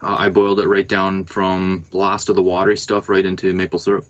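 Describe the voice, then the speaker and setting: A Canadian man with a somewhat low voice, speaking as a podcast guest. He is easygoing and plain-spoken, laying things out step by step in relaxed conversation. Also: a little fast, no room sound, lo-fi sound. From rural Canada. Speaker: podcast guest, band musician. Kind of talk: casual chat.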